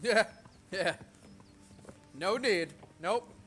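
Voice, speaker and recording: Sarcastic male gamer, very high voice, loud music. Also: very slow. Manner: sarcastic, mocking. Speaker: game streamer. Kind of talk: reactive gameplay commentary.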